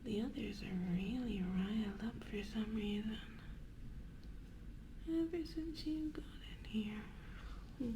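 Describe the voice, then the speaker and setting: A woman playing a cheerful goth mortician in an ASMR roleplay, softly breathy, unhurried, gently chiding and wryly affectionate. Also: mid-range voice, roomy sound, noisy background. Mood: mildly exasperated. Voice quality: soft, breathy whisper. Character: cheerful goth woman mortician working nights in haunted morgue.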